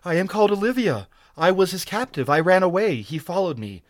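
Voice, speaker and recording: A man's voice: high-pitched, sing-song, brisk, dry recording, voice pushed up. A man voicing a frightened captive woman in a story reading, wailing and pleading with urgency. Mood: terrified, pleading. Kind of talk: dramatic audiobook character reading.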